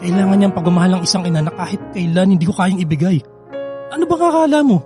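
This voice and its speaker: An actor with a Filipino accent playing a grieving, guilt-ridden young husband pleading in an emotional radio drama. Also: male voice, high-pitched, expressive, fast, over music. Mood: anguished, defensive.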